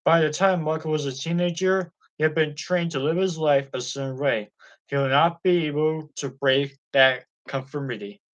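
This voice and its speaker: A man's voice: on the high side, steady tone, moderate pace, rough recording. Man reading a biography aloud. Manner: plain reading with occasional slips. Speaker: audiobook reader.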